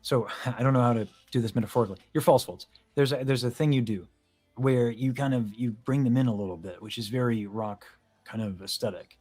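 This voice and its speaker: A young man breaks down singing technique in a relaxed, analytical chat. His pitch sits in the middle for a man. Faint music plays under his voice. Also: fairly quick, breathy edge. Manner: analytical, explains vocal technique in detail. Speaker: host, vocal coach. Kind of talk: casual video-call chat about singing.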